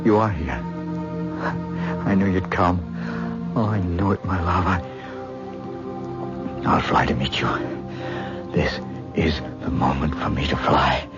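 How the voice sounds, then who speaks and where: An actor playing a feverish, obsessive husband in an old radio drama, weak and halting, pleading melodramatically for his wife. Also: low-pitched. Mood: entranced longing. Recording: muffled radio drama tape, loud music.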